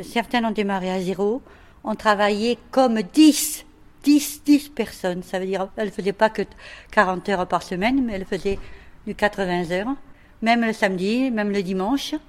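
A woman's voice: medium pitch, normal speed. A woman giving an impromptu opinion, arguing her point emphatically and in plain words. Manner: emphatic, argumentative, plain-spoken. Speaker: street interviewee.

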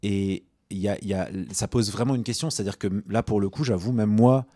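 Deep-voiced man, halting and earnest. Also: fairly even intonation, mellow, no room sound, clean studio sound. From Tunisia. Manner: hesitant, many restarts and fillers. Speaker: guest activist. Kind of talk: radio discussion.

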